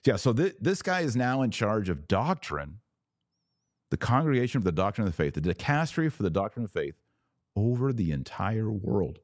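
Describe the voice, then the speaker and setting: Male podcast host, middle-aged, deep voice, dry close-mic sound, emphatic deliberate delivery. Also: big pitch swings, no echo. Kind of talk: religious commentary podcast. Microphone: close studio microphone.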